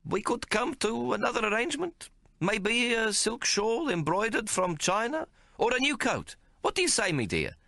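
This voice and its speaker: A man's voice: sing-song, normal speed, vocal fry, sharp tone, no room sound, studio quality, heavily acted. A man voicing a sly, haggling little male tailor with a whiny, pleading manner in an audiobook. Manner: whiny, pleading, high-pitched. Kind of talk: audiobook character voice.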